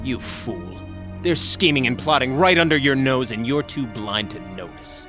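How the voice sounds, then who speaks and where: A man's voice: mid-range voice, expressive, moderate pace, over music, natural voice. A man voicing a bitter, paranoid rogue military officer in a video game, with an accusing, dramatic delivery. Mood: contemptuous.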